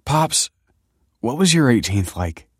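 An awkward eighteen-year-old lad in an audiobook, played by a male narrator. He sounds anxious and evasive, with nervous, blurted speech. The pitch is lifted well above the narration, in a dry recording. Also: big pitch swings, slow, breathy edge, no room sound, exaggerated voice. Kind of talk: audiobook character dialogue.

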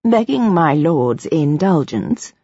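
A woman voicing a deferential yet stubbornly loyal female retainer in an audiobook, quiet, tentative and slightly shaky. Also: deep voice, big pitch swings, slow, slightly rough, dry recording, lo-fi sound, exaggerated voice.

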